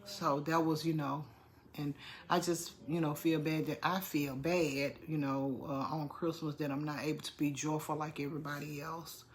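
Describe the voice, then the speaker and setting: A woman's voice: deep voice, level tone, moderate pace, slight background noise. A middle-aged woman with a congested chest, chatting casually to her viewers, repeating herself and calling them "y'all". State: congested, under the weather. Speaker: vlogger. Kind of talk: casual vlog talk to viewers.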